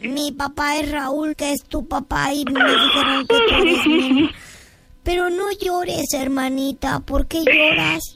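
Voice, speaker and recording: A woman's voice: high voice, steady tone, a little slow, exaggerated voice. A woman voicing a whiny, tearful little boy in a radio prank call. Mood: pleading. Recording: loud music underneath.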